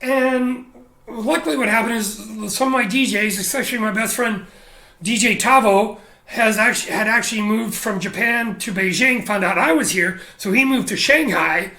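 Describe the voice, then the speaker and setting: Brief: middle-aged man from Canada, chatty guest, animated storyteller, forceful tone. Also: high-pitched, roomy sound. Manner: animated, laughing often, quick asides. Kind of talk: casual storytelling interview.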